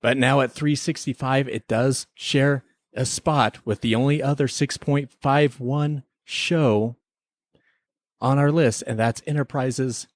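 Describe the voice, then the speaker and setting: A man with a slightly lower-pitched voice hosts a podcast on a close, dry mic. He speaks with teasing mock formality, as if running a playful tribunal. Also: steady tone, slow pace, no echo. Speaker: podcast host. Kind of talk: semi-scripted mock-tribunal podcast banter.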